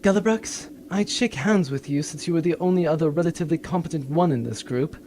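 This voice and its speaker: A man's voice: a little high, brisk, darkish tone, slight background noise, pitched below the performer's own voice, close to an everyday voice. A man voicing a scornful female space pirate captain in a cartoon, dry, cutting and exasperated. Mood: contemptuous.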